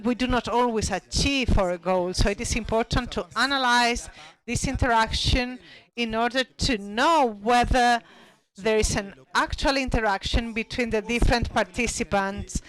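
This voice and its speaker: A panel speaker at a conference, presenting study findings in a slow, halting way with frequent restarts. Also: medium pitch, a bit bright, rough recording.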